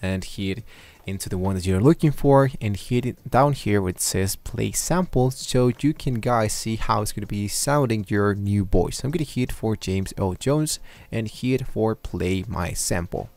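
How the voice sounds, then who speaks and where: Male tutorial host, somewhat low voice, casual and meandering, at a desk mic. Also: measured pace, a little mellow. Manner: loose, rambling, many fillers. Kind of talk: screen-recorded software tutorial. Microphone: desktop microphone at a computer.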